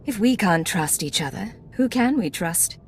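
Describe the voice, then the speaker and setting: A woman's voice: medium pitch, lively intonation, normal speed, slight background noise, natural voice. An actress playing a self-assured female secret agent in a video game, controlled and coolly persuasive. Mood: cool, wry.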